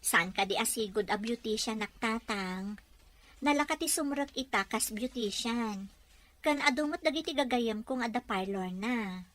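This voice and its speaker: A woman's voice: fairly high pitch, normal speed, fairly bright tone, close to an everyday voice. A woman playing a patient, troubled young wife. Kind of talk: Ilocano audio drama acting.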